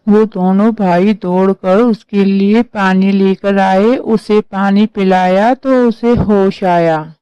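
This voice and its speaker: Woman telling a story, takes it slow, soft mellow voice, really smooth. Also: medium pitch, fairly even intonation, dry recording, lo-fi sound. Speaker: storyteller, narrator. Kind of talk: scripted story narration.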